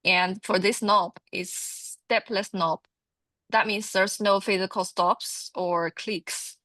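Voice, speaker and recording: A woman giving an informal product training presentation, explaining haltingly and often repeating her words. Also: mid-range voice, fairly even intonation, bright, forceful tone, no room sound.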